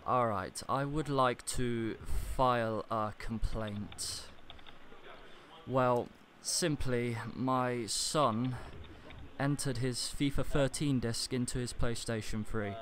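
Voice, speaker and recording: A man calling customer service with a complaint, speaking in a deadpan, deliberate and stiffly formal manner. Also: mid-range voice. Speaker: prank caller posing as customer. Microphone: computer internet call. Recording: internet call with clear background noise.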